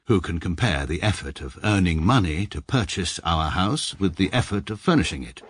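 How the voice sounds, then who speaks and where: An English actor playing a stiff, pedantic middle-aged male barrister who narrates in a dry, clipped, deadpan manner for a radio comedy drama. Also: sits low, moderate pace, slight background noise.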